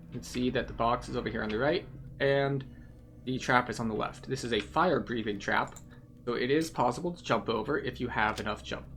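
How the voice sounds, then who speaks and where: A man's voice: mid-range voice, brisk, fairly bright tone, a little hiss or hum. A man giving explanatory, methodical commentary as he guides listeners through a video game playthrough.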